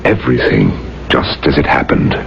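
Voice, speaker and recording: An actor playing a weary, grave rabbi in a radio drama, speaking formally and with authority. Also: male voice, very deep voice, very animated, normal speed, gravelly, over loud music, full character voice. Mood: grave, patient. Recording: muffled, noisy old radio recording.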